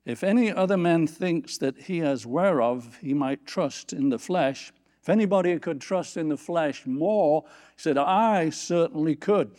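A man preaching a sermon from a church platform, lifting his voice for scripture quotes and dropping low for quiet asides. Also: measured pace. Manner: animated, rising pitch on scripture quotes. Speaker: pastor.

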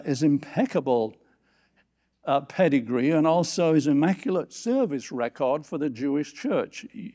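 A man preaching a sermon from a church platform, lifting his voice for scripture quotes and dropping low for quiet asides. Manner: animated, rising pitch on scripture quotes. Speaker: pastor.

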